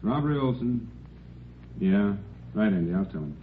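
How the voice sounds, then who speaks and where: An actor playing a brisk, weary police detective in a 1950s radio drama. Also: male voice, on the low side, animated, lightly acted. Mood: businesslike. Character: brisk, weary male robbery squad detective. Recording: noisy, muffled old radio recording.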